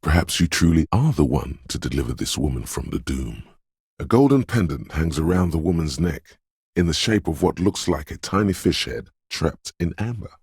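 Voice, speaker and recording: A man voicing a brooding, superstitious inner voice of intuition in a video game, speaking in a hushed, portentous, theatrical way. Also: big pitch swings, normal speed, dry recording, clean studio sound, heavily acted. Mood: mystical, foreboding. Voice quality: very deep, gravelly, husky. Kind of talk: dramatic video game narration.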